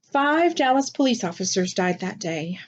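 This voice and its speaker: A woman voicing a calm, grave, caring father in a picture book read aloud. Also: mid-range voice, moderate pace, lo-fi sound. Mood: sad, gentle.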